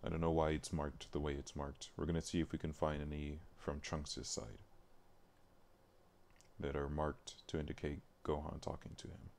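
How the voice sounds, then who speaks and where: Very deep-voiced, gravelly man muttering. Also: monotone, normal speed, darkish tone. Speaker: streamer. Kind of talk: thinking aloud while working on a livestream. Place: indoors at a computer, livestream. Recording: noticeable background noise, keyboard clicks. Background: typing and mouse clicking.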